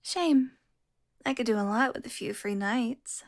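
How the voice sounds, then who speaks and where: A woman playing a seductive, teasing vampire who is smugly tender toward her prey, in an intimate audio roleplay with breathy, slow murmuring. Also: a little high. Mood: playful, flirty. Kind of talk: intimate audio roleplay, addressed to one listener.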